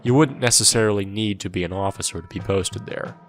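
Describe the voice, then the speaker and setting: A man's voice: lowish voice, a little fast, natural voice. An actor playing a wry, world-weary soldier who narrates his war in the first person, sardonic and irreverent, in an audio drama. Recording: music under the voice.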